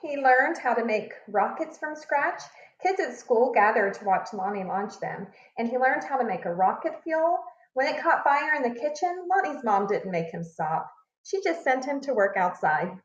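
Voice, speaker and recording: Woman, children's read-aloud, expressive storytelling, deliberate emphasis, echoey room, slightly muffled recording. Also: a little high. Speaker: read-aloud narrator, teacher. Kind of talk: reading a children's picture book aloud.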